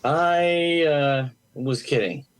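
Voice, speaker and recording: A man's voice: a little high, very slow, clear, resonant tone. A man playing a skeptical, sarcastic coworker in an amateur audio play, with dry, hesitant interjections. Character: skeptical, sarcastic male coworker, reluctant to join in.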